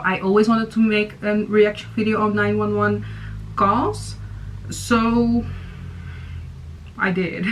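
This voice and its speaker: A woman's voice: mid-range voice, a little hiss or hum, rough recording. A young woman hosting a reaction video, talking casually with many fillers and restarts. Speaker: YouTube reaction host.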